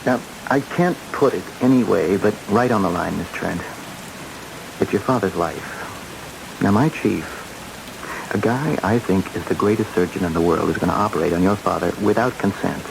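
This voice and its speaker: An actor playing an earnest, hesitant junior doctor in a radio drama, plain-spoken and frank. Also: male voice, sits low, moderate pace, creaky. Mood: earnest, uneasy. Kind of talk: old-time radio drama acting. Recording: hissy old radio broadcast recording.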